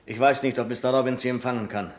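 An actor playing a fussy, wary bank manager's deputy with a formal, sceptical way of speaking in a radio play. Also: male voice, medium pitch, fairly even intonation, fast, lightly acted. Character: fussy, wary male senior bank clerk, stiffly correct. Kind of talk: German radio crime comedy acting.

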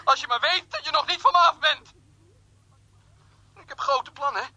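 A man's voice: very high-pitched, normal speed, vocal fry, bright, forceful tone, full character voice. An actor playing a pushy, jealous, petulant jilted man who stammers and repeats his demands through a telephone filter. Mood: angry, bossy.

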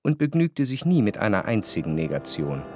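An actor playing a reflective, refined gentleman narrator in a radio drama, measured, precise and gently ironic. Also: on the low side, very animated, music in the background.

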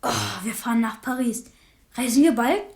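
Radio play role: eager young boy prince, high-pitched, guileless and earnest. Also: normal speed, gravelly. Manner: naive, earnest, questioning. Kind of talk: German radio play acting.

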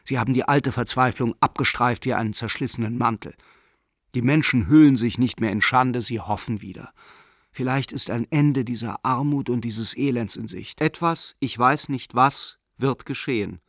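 An actor playing an earnest German family man and official torn between doubt and hope, phrasing his letter reflectively and deliberately in a radio drama. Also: mid-range voice, a bit bright, no room sound, clean studio sound. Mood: brooding, swept up.

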